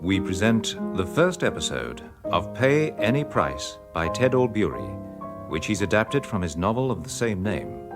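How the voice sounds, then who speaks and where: A man's voice: low-pitched, big pitch swings, a little slow. A man introducing a radio drama in a formal broadcast announcing style. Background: loud piano music.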